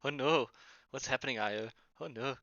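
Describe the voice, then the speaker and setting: Chill game master guy streaming, bright voice, bit muffled lo-fi audio. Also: mid-range voice, moderate pace. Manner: easygoing, laughs often, chatty with players. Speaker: dungeon master. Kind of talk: casual tabletop game streaming.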